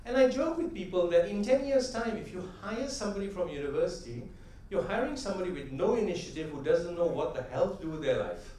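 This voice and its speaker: A middle-aged man tells stories to a convention crowd in a slightly raised, mellow voice. He sounds set back from the mic, and his words ring through a big, echoey hall over a faint murmur of room sound. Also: high-pitched, animated, fast, hardly any pauses, far from the mic. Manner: conversational storyteller, often tags "right?"; builds to rhythmic, emphatic punchlines. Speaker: convention speaker. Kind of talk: motivational conference talk with anecdotes.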